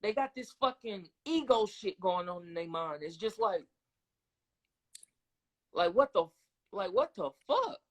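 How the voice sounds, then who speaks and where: A man's voice: high voice, animated, measured pace, pauses often. An American man co-hosting a casual podcast, talking bluntly in slang with profanity and frequent tag questions. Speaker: podcast co-host.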